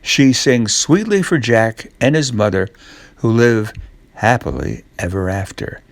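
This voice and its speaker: A man's voice: medium pitch, expressive, measured pace, no room sound. An older man reading a children's story as a scripted narration.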